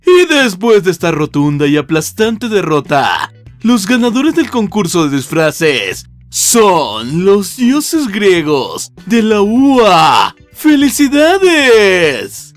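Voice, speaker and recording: A man playing an excitable, flamboyant contest announcer in an anime fandub, showy and drawn-out, with a Latin American accent. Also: high voice, dry recording, soft background music, exaggerated voice. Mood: excited. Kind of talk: anime comic fandub acting.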